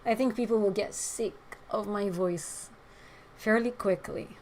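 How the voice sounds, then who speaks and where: Slow-talking hoarse woman. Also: medium pitch, darkish tone, a little hiss or hum, low-quality recording. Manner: frank, opinionated, hesitant fillers. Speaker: livestream host. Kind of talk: casual chat answering viewer comments.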